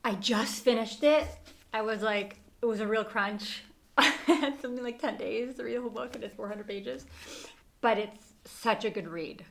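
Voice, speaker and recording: A woman on a book club podcast, hosting segments and telling a story in a lively, casual way with frequent laughs. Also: a little high, moderate pace, gravelly.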